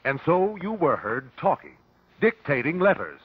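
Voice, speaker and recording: An actor playing a shrewd, relentless detective at an inquest, measured and authoritative, in vintage radio drama style. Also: male voice, fairly high pitch, very animated, breathy edge, no room sound. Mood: pressing, accusing. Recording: dull vintage radio broadcast recording.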